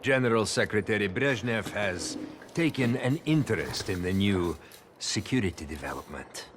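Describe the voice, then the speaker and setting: An actor playing a stern, authoritative Soviet party official in a game cutscene, speaking formally and deliberately. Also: male voice, on the low side, lively intonation, slight background noise, close to an everyday voice. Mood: formal, commanding. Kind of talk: dramatic video game cutscene acting.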